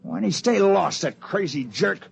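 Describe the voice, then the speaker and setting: A man's voice: sits high, expressive, unhurried, vocal fry, breathy edge, heavily acted. An actor playing a crude, grumbling spaceman with slangy, scornful speech in a 1950s radio drama. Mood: griping, scornful. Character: loudmouthed, grumbling, crude spaceman with sore feet. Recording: noisy vintage 1950s radio recording.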